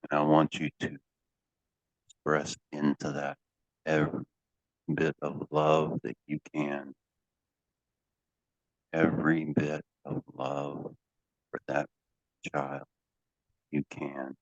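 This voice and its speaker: Super deep guy doing guided hypnosis, slow deliberate pauses, flat tone, dull echoey recording. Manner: deliberate, commanding, with drawn-out pauses. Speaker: hypnotherapy coach leading a live session. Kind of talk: guided hypnosis, prompting phrases to be repeated. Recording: dull, echoey lo-fi room sound.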